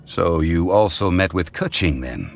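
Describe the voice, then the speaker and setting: An actor playing a composed, learned advisor in a game who speaks in a measured, formal way, with game music behind. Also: male voice, sits low, lively intonation, gravelly, exaggerated voice. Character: composed, learned man, a calm scholarly advisor.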